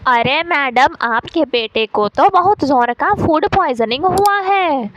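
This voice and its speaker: A woman's voice: high-pitched, expressive, slow pace, a bit bright, dull audio, a little hiss or hum, heavily acted. A woman voicing a male pigeon doctor in a cartoon, patient and instructive, giving matter-of-fact advice. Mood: firm advice.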